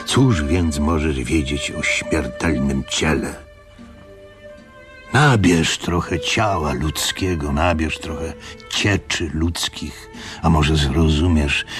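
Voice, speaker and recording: Very deep-voiced old pious innkeeper. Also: male voice. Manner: solemn, reflective, prayerful. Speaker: radio drama actor. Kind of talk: dramatic monologue in a radio play.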